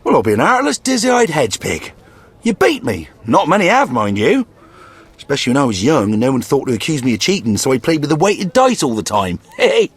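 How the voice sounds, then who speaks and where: Husky, high-swinging old codger. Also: male voice, wildly swooping pitch, fairly quick, exaggerated voice. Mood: wily, amused. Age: older. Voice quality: raspy. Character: crusty, wily old man, a chatty cemetery caretaker. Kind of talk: video game dialogue.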